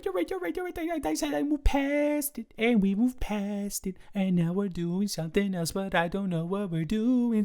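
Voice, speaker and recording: A man's voice: high voice, clean tone, exaggerated voice. A young man playing a playful drunk college roommate in an intimate audio roleplay, rambling, slurring, joking and repeating himself. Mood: insistent, rambling. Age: young adult.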